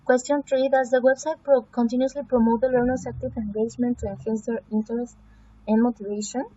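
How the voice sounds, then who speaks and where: A woman's voice: fairly high pitch, fairly even intonation, brisk, warm tone, muffled recording, a little hiss or hum. A woman whose first language is Spanish presents a website evaluation, talking through it hesitantly with many fillers and self-corrections. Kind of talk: semi-scripted spoken evaluation.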